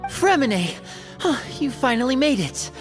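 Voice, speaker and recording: A woman voicing a poised, brisk young elder-sisterly colleague in a video game cutscene. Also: high-pitched, sing-song, soft background music. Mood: pleased, brisk.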